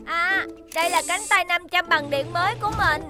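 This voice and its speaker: A woman's voice: extremely high pitch, brisk, over music. A woman voicing a cheerful, boastful, childlike rescue helicopter robot in a children's cartoon dub. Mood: proud, cheerful.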